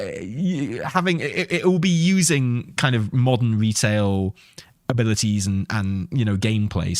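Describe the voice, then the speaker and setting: A man hosting a gaming channel, giving excitable, sweary off-the-cuff reaction commentary as he thinks aloud. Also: mid-range voice, no echo, studio quality. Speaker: host of a gaming news channel.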